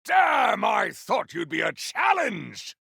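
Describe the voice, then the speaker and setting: Game role: bombastic, honorable cyborg warrior, slow declamatory delivery, often shouted, raspy edge. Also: male voice, sits high, wildly swooping pitch, dry recording, studio quality, heavily acted. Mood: defiant, forceful. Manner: theatrical, declamatory, grandiose. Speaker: video game voice actor. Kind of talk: video game combat barks.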